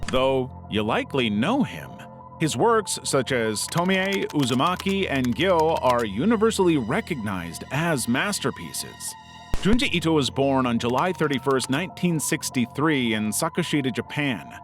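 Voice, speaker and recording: A man's voice: mid-range voice, sing-song, normal speed. A man reading a scripted video essay as its narrator. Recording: music under the voice. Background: background music.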